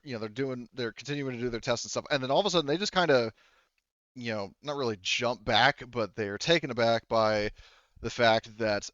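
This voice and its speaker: A man with a smooth voice narrates a tabletop game as game master. He talks casually and haltingly, feeling out each sentence as he goes, on a close, slightly dull-sounding mic. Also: mid-range voice, fairly bright tone, no room sound. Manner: halting, conversational, hedging.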